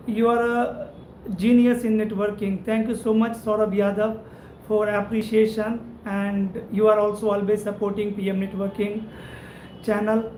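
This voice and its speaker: A man's voice: high voice, gravelly, darkish tone. A man from India with an Indian English accent, hosting a live stream as a networking instructor. He talks in a friendly way, thanks viewers again and again, and often adds "right?" to his sentences. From India (Madhubani, Bihar). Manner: friendly, repetitive thanks, frequent "right?" tag. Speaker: networking instructor and channel host. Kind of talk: live-stream chat reading viewer comments. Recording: roomy echo, faint background noise.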